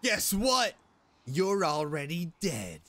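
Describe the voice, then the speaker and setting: A woman streaming a video game with expressive, jokey, casual commentary over faint game music. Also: on the low side, slow, no echo. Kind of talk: casual game commentary with playful line reading.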